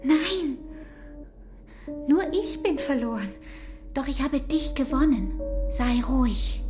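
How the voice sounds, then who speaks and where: A woman playing a resolute young barmaid in love in a literary radio drama, often whispering, with slow, deliberate delivery. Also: high voice, animated. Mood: resolute, hushed. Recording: loud music underneath.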